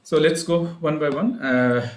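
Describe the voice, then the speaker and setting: A man's voice: a little high, a little slow. An Indian-accented man, a speech therapist, hosting a live online session with many fillers and restarts. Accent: Indian English. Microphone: online call. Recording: roomy echo.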